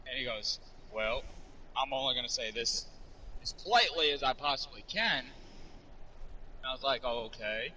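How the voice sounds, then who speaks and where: Man, 20s, game streamer, bright edgy timbre, profane rambler. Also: medium pitch, moderate pace, pauses often, a little hiss or hum, rough recording. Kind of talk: casual chat while gaming.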